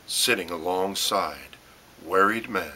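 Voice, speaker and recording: Male spoken-word poet, low-pitched and hard-edged, measured phrases with pointed stresses, slightly noisy recording. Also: bright, forceful tone. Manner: deliberate, short phrases with pauses and emphatic stresses. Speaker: poet reading own work. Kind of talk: dramatic spoken-word poetry reading.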